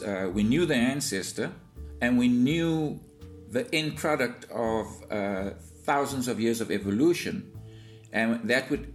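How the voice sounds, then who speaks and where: A South African man answering interview questions as a zoologist guest, in a measured, explanatory way. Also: medium pitch.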